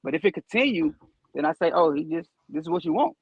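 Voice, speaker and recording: Guest, young adult male, husky and high-pitched, laid-back, explains at length, dry dull sound. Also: big pitch swings, fast talker, dry recording. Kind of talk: casual conversation.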